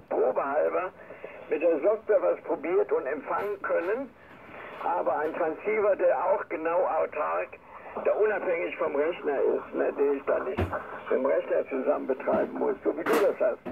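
A man's voice: on the high side, normal speed, gravelly. An older man from Germany chatting casually as an amateur radio operator over shortwave radio, often tagging his sentences with little questions. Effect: shortwave single-sideband radio. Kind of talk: casual ham radio chat. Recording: noisy narrowband shortwave radio reception. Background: radio static.

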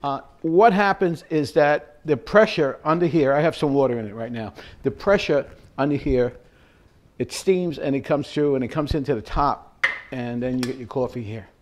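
An older man talks his way through a recipe in his own kitchen, loose and chatty, full of "uh" and "all right" and little chuckles. His voice sits at an ordinary male pitch, picked up by a camera standing a few feet off. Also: normal speed, very noisy. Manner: chatty, rambling, with chuckles and fillers. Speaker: home cooking show host. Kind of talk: casual cooking demonstration. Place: home kitchen, indoors. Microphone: fixed camera at mid distance.

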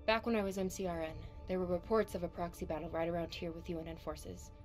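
An actress playing a steady, wry ex-navy spaceship crew member in a video game, with a measured, understated delivery. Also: female voice, lowish voice, faint music behind, lightly acted. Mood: matter-of-fact.

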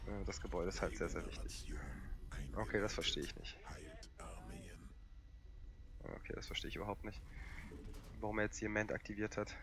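A young man with a cold, hoarse and coughing, casually commentating a strategy game with lots of fillers. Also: lowish voice, normal speed, long pauses. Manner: relaxed and rambling, many fillers. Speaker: gaming channel host.

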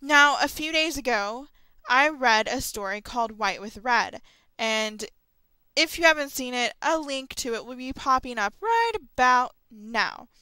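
Young woman, casual vlogger, highish clear voice, informal and tentative, close dry recording. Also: bright, forceful tone, no echo, low-quality recording. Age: young adult. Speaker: vlogger and host of an audio log. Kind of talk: casual rambling solo chat about horror stories.

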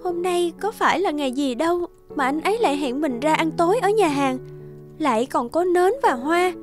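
A woman voicing a hopeful, romantic young girlfriend in an animated cartoon. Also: sits high, moderate pace, soft background music. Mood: hopeful, musing.

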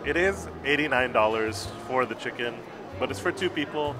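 Thirties male food vlogger, gushing, lapel mic in a busy restaurant, music underneath, mid-pitched voice. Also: lively intonation, moderate pace. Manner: enthusiastic, gushing about food. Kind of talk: casual restaurant review. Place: busy indoor restaurant patio.

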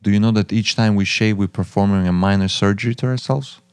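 Low, gravelly man from the former Soviet Union. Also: steady tone, fast, a little mellow, no echo. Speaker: guest, master barber and museum owner. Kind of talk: conversational interview talk. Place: small podcast studio with acoustic foam. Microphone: close studio mic on boom arm.

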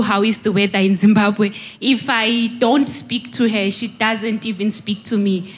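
A woman giving an earnest testimony into a handheld mic in a large church hall. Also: mid-range voice. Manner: earnest, confessional. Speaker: congregant.

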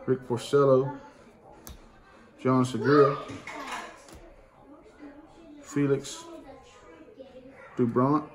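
A man, casually reading out baseball card names at home. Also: mid-range voice. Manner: plain-spoken, slangy, calling out names one by one. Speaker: card collecting channel host. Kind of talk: casual card-opening commentary. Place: dining room at home, indoors. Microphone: camera on a table in front. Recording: home room with steady noise.

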